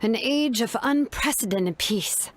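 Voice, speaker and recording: Kind, higher-voiced young warrior. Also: female voice, animated, a little slow. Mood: supportive. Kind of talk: scripted video game voice acting.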